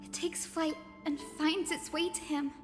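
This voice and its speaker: A woman acting a gentle, wistful young heroine in a fantasy game, speaking lyrically in long reverb over music. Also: high voice, steady tone, unhurried. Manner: lyrical, storytelling. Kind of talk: dramatic fantasy game dialogue.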